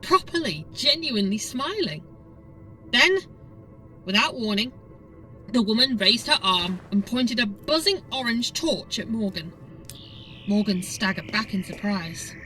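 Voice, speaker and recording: A woman's voice: on the high side, lively intonation, measured pace, pauses often, sharp tone, recorded from a distance, soft background music, low-quality recording. A woman narrating a scripted audiobook story in a dramatic storytelling style.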